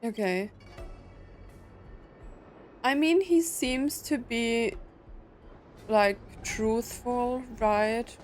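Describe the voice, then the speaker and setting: Female game streamer, unhurried, casual, slangy, laid-back, music faintly underneath. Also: on the high side. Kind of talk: casual gameplay commentary.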